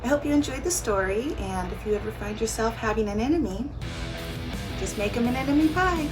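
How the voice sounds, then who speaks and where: A woman reading a children's story aloud in an animated way, drawing out key words for dramatic emphasis. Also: on the high side, a little fast, over music, low-quality recording. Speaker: teacher reading a picture book aloud. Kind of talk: expressive children's storybook narration.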